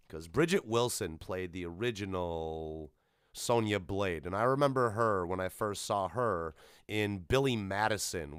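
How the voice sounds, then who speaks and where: A man hosting a solo podcast, rambling casually and bluntly in an animated way, swearing freely. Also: sits low, dry recording, clean studio sound. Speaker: podcast host.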